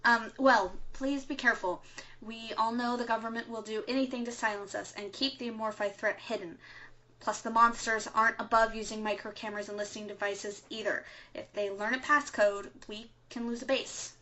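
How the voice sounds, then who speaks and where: A woman plays the earnest host of a secret resistance broadcast in a radio drama. Her voice is bright and a little high, with a slightly hesitant delivery. The audio is lo-fi, with faint noise behind her. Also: steady tone, normal speed. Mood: earnest, concerned. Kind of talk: scripted audio drama, interview. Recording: noisy, dull lo-fi audio.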